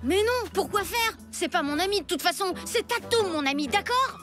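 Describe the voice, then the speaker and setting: A very high, childlike cartoon boy. He sounds headstrong and impulsive, with music clearly behind him. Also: lively intonation, normal speed. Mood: frustrated. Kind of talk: cartoon dub acting. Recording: music under the voice.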